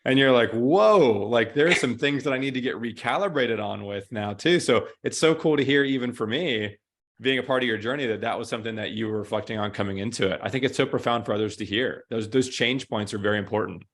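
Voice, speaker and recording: A man who hosts a podcast speaks with effusive enthusiasm. His pitch sits in the middle range for a man, and his conversation stays casual. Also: a little fast, fairly bright tone. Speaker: podcast host.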